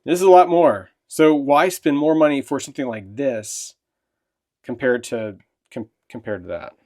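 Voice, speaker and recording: A man's voice: medium pitch, darkish tone. Chatty middle-aged man showing off gear. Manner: chatty, asks questions, quick nods of agreement. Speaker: channel host. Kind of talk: casual product demo. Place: home, indoors and outdoors.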